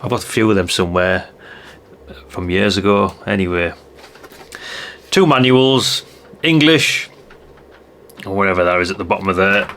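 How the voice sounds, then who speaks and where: A man's voice: sits low, moderate pace, long pauses, a bit bright, slight background noise. An older man chatting in a rambling way, thinking aloud through a casual hands-on product review. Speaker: radio hobbyist reviewer.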